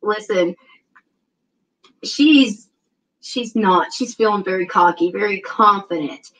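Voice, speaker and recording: A middle-aged woman with a Southern drawl lays out tarot cards and talks them through in a loose, rambling way, pausing often to think. She sits back from her webcam, so her voice sounds a little distant on the video call. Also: mid-range voice, fairly bright tone. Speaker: tarot reader guest. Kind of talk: casual tarot reading on a livestream. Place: home room indoors.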